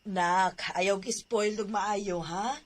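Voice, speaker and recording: An older woman acting a caring mother and grandmother in an audio drama, gently advising her son. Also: mid-range voice, fairly even intonation, slow. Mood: warm.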